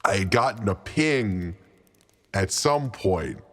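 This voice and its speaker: A man's voice: fairly low pitch, expressive, slow pace, a bit bright, full character voice. A man playing a chatty undead lich bureaucrat in improvised tabletop roleplay, faux-friendly and defensive, stammering and repeating himself. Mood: affable, smug.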